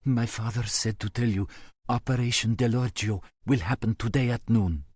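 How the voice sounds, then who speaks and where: A man's voice: medium pitch, moderate pace, a little mellow, husky, no room sound, clean studio sound, voice pushed up, close to an everyday voice. A man voicing a timid, grieving young man with a thick accent, speaking hesitantly and stammering. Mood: tentative.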